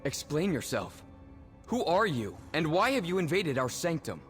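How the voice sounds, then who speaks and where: A man's voice: on the high side, very animated, moderate pace, soft background music. An actor playing a guarded, formal, sheltered young man in a video game, speaking precisely and with indignation. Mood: suspicious, demanding.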